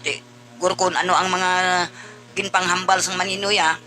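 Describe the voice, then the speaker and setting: High-voiced Filipino man advising over music. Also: flat delivery, moderate pace, bright, forceful tone. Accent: Western Visayan Filipino (Hiligaynon). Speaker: co-host giving advice. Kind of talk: conversational advice talk. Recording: music behind the voice.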